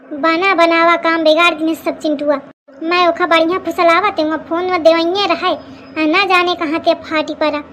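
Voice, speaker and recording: A woman's voice: very high-pitched, fairly even intonation, moderate pace, fairly bright tone, a little hiss or hum. A woman voicing a plump middle-aged village mother-in-law in an Awadhi cartoon comedy, scolding, gossipy and suspicious, with an Awadhi accent. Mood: exasperated, grumbling.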